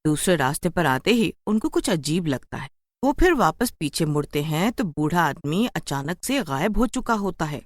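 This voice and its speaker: A woman's voice: on the low side, no room sound, studio quality. A woman narrating a scripted horror story as a storyteller.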